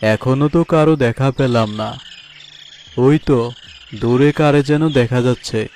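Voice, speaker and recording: A lazy cartoon tiger voiced by a man who loves meat and hates effort. He drawls very slowly in a mid-pitched male voice. Faint music and night insects sit behind him. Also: a little mellow, lightly acted. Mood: idle musing. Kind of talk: cartoon voice acting.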